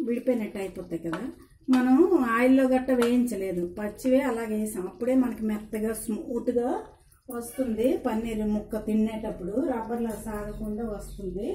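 A woman's voice: a little high, mellow. A woman giving an informal cooking demonstration, instructing viewers directly as a home cook. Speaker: home cook presenting a recipe. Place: indoor home kitchen at the stove. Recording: roomy kitchen sound with background noise.

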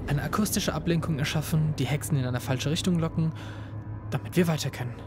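A man's voice: fairly high pitch, a little fast, close to an everyday voice. A man playing a tense young student. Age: young adult. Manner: tense, urgent, shouts in danger. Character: young male student searching for missing friends. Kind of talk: fan audio drama acting. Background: loud horror music.

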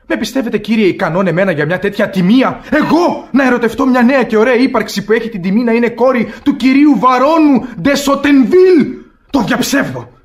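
A courtly young suitor with a high, swooping voice. He puts on offended honour with theatrical flair, as in classic radio comedy. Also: lively intonation. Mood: indignant.

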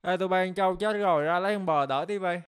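A man's voice: high voice, fast talker, background noise, natural voice. A man voicing a brash, rowdy festival announcer in a cartoon comedy. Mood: brisk shouting.

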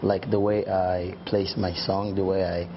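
A man's voice: low voice, level tone, measured pace. A young Nigerian man giving casual interview answers, often adding "you know" as he goes. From Delta State, Nigeria. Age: young adult. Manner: frequent "you know" asides. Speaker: singer and former rapper being interviewed. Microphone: clip-on lapel mic. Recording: dull sound with light background noise.

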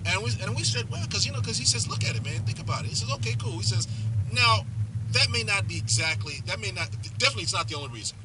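Animated high-pitched American man over car noise. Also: quick pace. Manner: emphatic storytelling with acted-out dialogue. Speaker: driver talking with a passenger. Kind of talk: casual opinionated chat. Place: inside a moving car. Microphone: dashboard camera. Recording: loud car and road noise.

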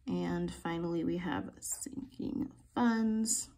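A woman's voice: fairly low pitch, fairly even intonation, slow, vocal fry, warm tone. A woman hosting a casual budget vlog, chatty and playful with slang, sounding groggy in the morning. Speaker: budgeting channel host. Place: home filming room, desk seen from above.

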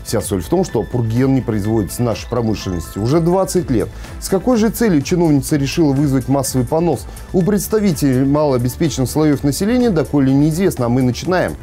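A man's voice: medium pitch, lively intonation, quick pace, hardly any pauses, dark tone. A man in his thirties presenting scripted satirical news in a sardonic manner. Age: 30s. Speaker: news programme host. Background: music bed.

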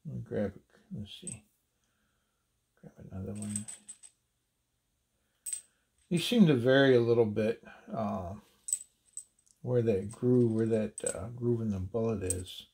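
A man with a husky, breathy voice talks slowly through a hands-on demonstration at an indoor table. His delivery is halting, full of fillers and restarts, and his voice sits a little low. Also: warm tone. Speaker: handloading channel host.

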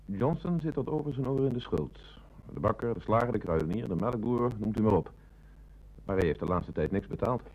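A dutiful police detective in a radio play. His voice sits fairly low, and he reports to his superior in clipped, factual phrases. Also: lively intonation, fairly quick, a little mellow, low-quality recording. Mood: factual, knowing. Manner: matter-of-fact, clipped reporting. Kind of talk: Dutch radio play acting.

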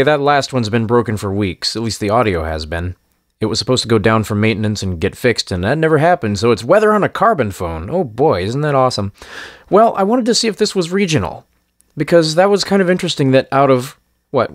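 A man's voice: mid-range voice, no echo, studio quality. A man giving casual, wry commentary as host, in a sardonic and offhand manner. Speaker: host of a hobby radio monitoring show.

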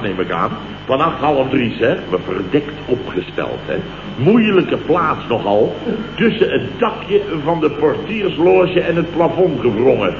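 An actor playing a boastful, weary, blustering boss in an old radio comedy, rambling and stammering. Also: male voice, a little high. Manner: rambling, exclamatory, full of stammers and interjections. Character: blustering, boastful, weary male boss and amateur night watchman. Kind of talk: 1970s Dutch radio comedy acting. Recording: echoey, noisy, muffled old radio broadcast.

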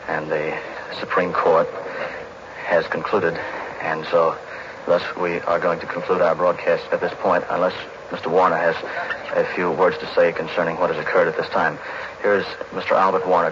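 Deep, even-toned American radio announcer. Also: male voice. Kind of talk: 1940s live news broadcast commentary. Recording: noisy, dull 1940s radio broadcast.

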